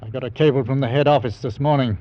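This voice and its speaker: A gruff, wary sea captain who speaks in clipped, no-nonsense phrases, voiced by a man in a vintage radio play. His voice has a middle pitch. The old recording dulls the sound. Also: steady tone, quick pace, lo-fi sound, close to an everyday voice. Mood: brusque. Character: gruff male ship captain, cautious. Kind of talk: 1940s radio acting.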